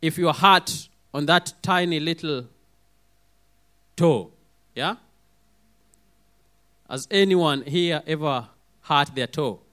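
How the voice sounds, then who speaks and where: Hoarse, lively man preaching a sermon. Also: on the high side, takes it slowly, long pauses. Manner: lively, calls for amens, acts out quoted voices.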